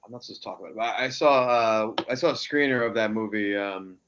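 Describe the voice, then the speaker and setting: A man's voice: fairly low pitch, fast, fairly bright tone, slight background noise. Easygoing man in his thirties. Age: 30s. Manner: easygoing, rambling storyteller. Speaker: guest cosplayer. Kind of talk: casual podcast chat.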